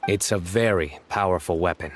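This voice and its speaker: An actor playing a solemn young male guardian in a video game, with a deadpan, grand way of talking. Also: low-pitched, moderate pace, background noise, lightly acted.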